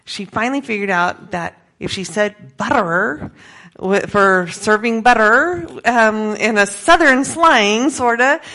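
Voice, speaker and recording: A middle-aged woman with an American accent gives an informal talk to a group as a missionary, conversational and halting, often correcting herself. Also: on the high side, sharp tone. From grew up in Peru as an American missionary kid. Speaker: missionary speaker giving a presentation.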